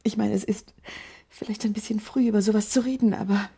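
An actress playing a scheming, manipulative wife in a radio crime drama, coaxing between pleading and breathy whispers. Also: female voice, mid-range voice, steady tone, a little fast, warm tone. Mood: hushed, insinuating. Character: scheming, manipulative wife urging her lover toward murder.

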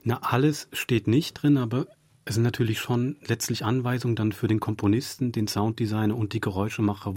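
A man with a lowish, rough-edged voice talks as an interview guest. He explains things conversationally, thinking aloud in long winding sentences. The recording is dry and close. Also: a little mellow, dry recording. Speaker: radio drama director, interview guest. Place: dry radio studio.

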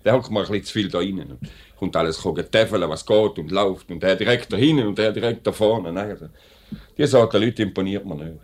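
An actor playing an assured, plain-spoken company director with a Swiss German accent in a 1950s radio drama, brisk and authoritative. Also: male voice, sits low, a little mellow. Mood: firm, frank.

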